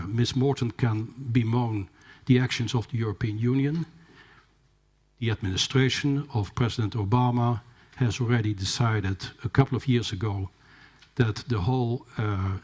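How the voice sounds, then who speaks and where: A man giving a formal conference talk, measured and hesitant, with fillers and restarts. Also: medium pitch, slightly rough. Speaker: conference speaker. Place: conference hall.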